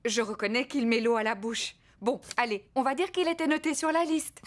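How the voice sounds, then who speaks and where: A woman voicing a kind, patient mother pig in a children's cartoon, with a gentle, reassuring manner. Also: fairly high pitch, fairly quick, lightly acted. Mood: gentle, motherly. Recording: music behind the voice.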